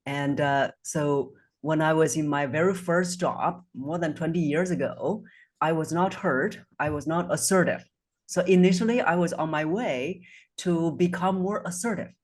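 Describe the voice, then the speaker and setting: A woman in her forties, a voice coach based in Singapore, answering interview questions in a measured, earnest way, over a video call. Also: low-pitched.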